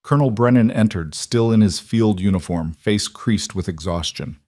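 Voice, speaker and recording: A man narrating a scripted military drama story in a steady, measured way. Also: lowish voice, no echo, clean studio sound.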